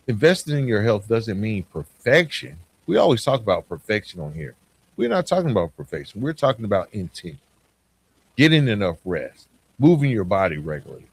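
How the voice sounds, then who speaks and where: A man with a low voice and very animated intonation delivers a motivational podcast monologue. He talks conversationally as a host, leaning on key words and pausing deliberately between phrases. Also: slightly rough.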